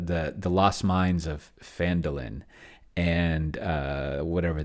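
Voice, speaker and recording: A middle-aged man thinking aloud in an unscripted walkthrough, rambling and trailing off mid-thought, speaking close to a desk microphone. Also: deep voice. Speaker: video host. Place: indoor room at home, desk setup. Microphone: desk microphone close to the mouth.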